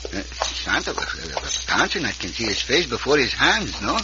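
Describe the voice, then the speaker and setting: Noisy old radio, actor as comic Mexican sidekick. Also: male voice, mid-range voice, big pitch swings, normal speed, muffled recording, close to an everyday voice. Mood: bumbling, eager. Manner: broken English, stumbling, repeats words. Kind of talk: old-time radio western acting.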